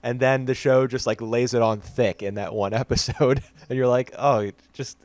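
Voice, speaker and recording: A man's voice: mid-range voice, fairly even intonation, moderate pace, a little mellow, no room sound. A man co-hosting a podcast, chatting casually and analytically with fillers and restarts. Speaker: podcast co-host. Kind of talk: casual anime discussion.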